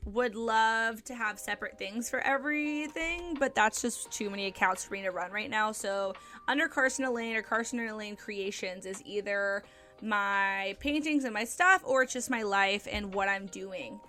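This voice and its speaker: A woman's voice: mid-range voice, normal speed, sharp tone. A young woman hosting her own podcast, talking casually and upbeat, with frequent ums and likes. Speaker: podcast host. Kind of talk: casual solo podcast chat.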